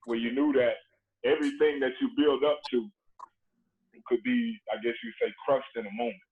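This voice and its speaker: A man, telling stories in a relaxed, earnest way with lots of "you know" fillers, heard over a remote call. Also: mid-range voice, moderate pace. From Dublin, Georgia, USA. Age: late 30s. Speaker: guest, former basketball player. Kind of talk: casual storytelling conversation. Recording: tinny phone-like call line with faint noise.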